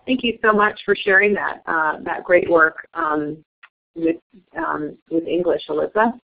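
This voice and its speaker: A woman's voice: mid-range voice, lively intonation, a little slow, creaky. A woman moderating a webinar, introducing speakers and passing on questions, with frequent ums. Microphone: phone or web call. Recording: tinny phone-line audio.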